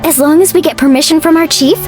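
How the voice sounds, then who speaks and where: Woman as an excitable, high-voiced young girl. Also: fast, music in the background, natural voice. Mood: excited, cheerful. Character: bubbly, laid-back young girl, friendly and excitable. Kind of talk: video game cutscene acting.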